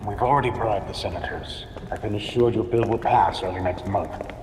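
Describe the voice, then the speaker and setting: An actor playing a sinister cult servant with a hushed, ominous delivery in a game cutscene. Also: male voice, lowish voice, fairly quick, lightly acted. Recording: reverberant room with noise.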